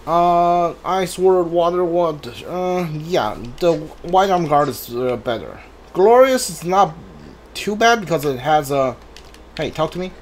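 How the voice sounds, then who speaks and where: A gamer giving casual playthrough commentary, thinking aloud in halting fragments over a game. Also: medium pitch, animated, a little hiss or hum. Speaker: gamer, streamer. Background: game music.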